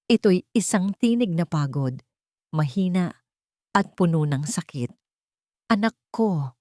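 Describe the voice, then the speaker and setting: A woman's voice: fairly low pitch, expressive, a little slow, warm tone, no echo, clean studio sound. A woman reading a scripted story as a dramatic narrator.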